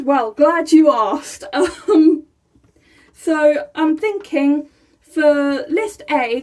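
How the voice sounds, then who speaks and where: A young woman from England, chatting casually to her camera in a small room, excitable and laughing often. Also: high-pitched. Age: young adult. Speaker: vlogger. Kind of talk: casual vlog chat. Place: small room by a rainy window, indoors.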